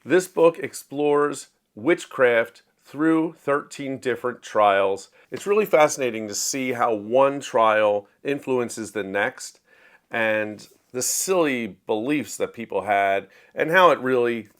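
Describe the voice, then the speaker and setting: A man's voice: medium pitch, animated, takes it slowly. Plainspoken man reviewing books. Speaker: host of a book review show. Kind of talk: casual scripted-outline book review to camera.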